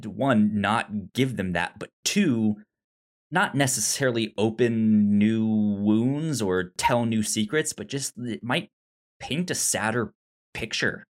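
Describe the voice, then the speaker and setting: A man co-hosting a film podcast, talking casually and repeating words as he works out his thoughts. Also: lowish voice. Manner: halting, repeats words while thinking. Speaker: podcast co-host reviewing films. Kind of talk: casual conversational film discussion.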